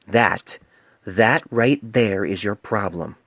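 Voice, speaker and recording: A man voicing a stern, wise older female pony physician in a storybook reading, speaking in a measured, grave, admonishing way. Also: lowish voice, gravelly, fairly bright tone, no echo, heavily acted. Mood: probing, stern.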